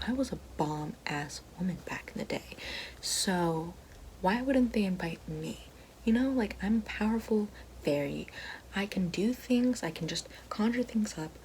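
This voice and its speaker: A woman playing a bitter, sassy fallen evil fairy working a help line, in a breathy whispered ASMR roleplay with slangy sarcasm. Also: slight background noise, natural voice, low-quality recording. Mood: indignant, sarcastic. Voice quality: breathy whisper mixed with soft low speech.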